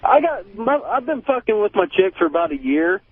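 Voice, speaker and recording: A man's voice: high-pitched, very animated, fairly quick, husky, dry recording. Tinny phone-line male caller, heavy swearing. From Nevada. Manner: heavily profane. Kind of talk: casual slangy chat. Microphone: telephone.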